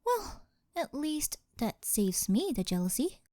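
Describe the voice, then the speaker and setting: A woman's voice: high-pitched, very animated, measured pace, mellow, no room sound, studio quality. A woman playing a rich, possessive, doting older girlfriend in an ASMR roleplay, coy, cutesy and teasing as she sweet-talks. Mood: playfully boastful.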